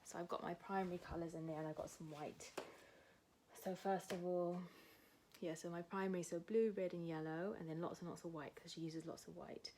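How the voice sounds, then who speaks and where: Kiwi woman showing an art lesson, lowish voice, chatty with lots of ums, goes soft and quiet while drawing. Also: fairly even intonation, fairly quick, a little hiss or hum. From New Zealand. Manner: casual, many fillers, talks while drawing, often drops to a soft low voice. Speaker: art tutor. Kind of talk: art demonstration tutorial.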